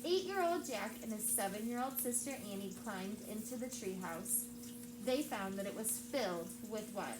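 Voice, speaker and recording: A woman's voice: medium pitch, lively intonation, moderate pace. A woman teacher reading a chapter book aloud to her class, stopping often to ask the children questions. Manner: engaging, asks the class questions. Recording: roomy with background noise.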